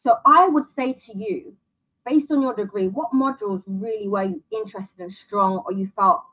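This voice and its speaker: Brisk woman giving career advice, lo-fi. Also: mid-range voice. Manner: brisk, lists options, advises directly. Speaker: guest, career consultant. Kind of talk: advice in an interview.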